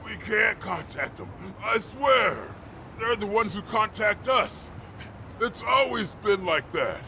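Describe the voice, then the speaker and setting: A high, creaky, strained male thug, cowed and scared in a game cutscene. His pitch lurches wildly as he gives in haltingly. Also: very animated, sharp tone, a little hiss or hum, heavily acted. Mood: frightened, pained. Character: beaten, frightened male street thug under interrogation. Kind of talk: English video game dub acting.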